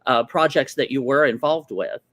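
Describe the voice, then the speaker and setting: A man from Indiana co-hosting a live talk show in a restaurant, asking his guest halting questions full of ums. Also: medium pitch, a bit bright, no echo. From Indiana, USA. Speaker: co-host.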